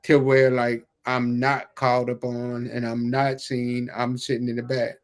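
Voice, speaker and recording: A man's voice: mid-range voice, completely flat delivery, unhurried. A man hosting an interview, a preacher telling anecdotes in an informal, colloquial way with words repeated for emphasis. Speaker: podcast host, preacher. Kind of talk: casual chat, anecdotal storytelling.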